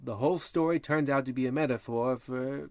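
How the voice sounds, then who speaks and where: A suave old-time radio host played by a man, with a medium pitch in a dry studio sound. He narrates in an arch, mock-formal way, coy with his listeners. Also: brisk, no echo, close to an everyday voice. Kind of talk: parody of old radio announcing.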